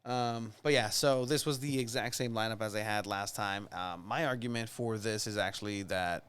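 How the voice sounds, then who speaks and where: Mexican accent guy, lowish smooth voice, podcast cohost going on about soccer, trips on names. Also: normal speed. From San Diego area. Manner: animated, opinionated, stumbles over names. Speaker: podcast co-host. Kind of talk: casual sports chat.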